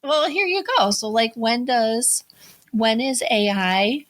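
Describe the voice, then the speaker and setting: A woman's voice: fairly high pitch, expressive, slow pace. A woman with a New Jersey accent chatting casually as a podcast co-host. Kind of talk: casual conversational chat.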